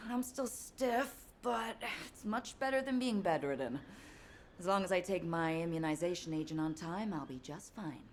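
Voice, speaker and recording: A tough woman soldier from a video game. Her voice sits on the low side, and she talks in a wry, casual, teasing way. A faint ambience runs behind her. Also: expressive, a little slow, a little hiss or hum. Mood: weary, stubborn.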